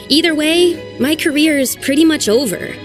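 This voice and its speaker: A woman's voice: high voice, slow pace, soft background music. A woman voicing an earnest young woman archaeologist who talks anxiously and sighs over her troubles. It is game dialogue. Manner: earnest and sighing. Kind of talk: game voice acting.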